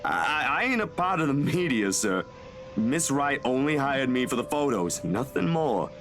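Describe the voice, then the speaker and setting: An actor playing an easygoing, loyal young photographer in a video game, with a folksy, informal manner. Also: male voice, medium pitch, very animated, measured pace, a bit bright. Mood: nervous, polite. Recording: background music.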